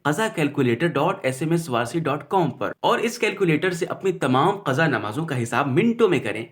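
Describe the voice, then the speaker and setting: A male announcer delivers a scripted promotional spot. His voice sits on the high side, and his pitch moves around in a very lively way. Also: fairly quick.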